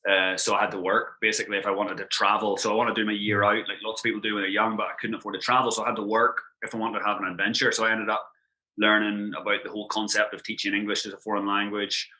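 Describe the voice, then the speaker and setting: An Irish man in his thirties talks quickly, his voice bright and forward. He chats as a podcast guest, running his stories together with loose "like" fillers. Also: lowish voice, rough recording. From Ireland. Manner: quick, animated storytelling with frequent "like" fillers. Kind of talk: casual podcast chat.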